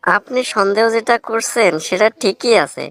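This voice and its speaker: A woman's voice: mid-range voice, moderate pace, a bit bright, dry recording, lightly acted. A woman voicing an earnest, talkative young village student in a cartoon comedy, speaking in a rustic Barisal accent with blunt, moralizing manners. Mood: proud, explaining. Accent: Barisal Bangla. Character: earnest, plain-spoken young village man, scholarship student.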